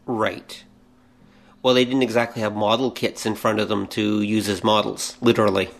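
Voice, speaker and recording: A man's voice: lowish voice, flat delivery, moderate pace, vocal fry. A middle-aged man co-hosting a podcast, chatting informally as a fan. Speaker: podcast co-host. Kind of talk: casual conversational fan chat.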